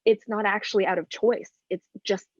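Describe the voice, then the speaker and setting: Female nutritionist guest, lower register, calm explainer, flat dry lo-fi sound. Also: dry recording. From China. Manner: thoughtful, measured explanations. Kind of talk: casual podcast interview.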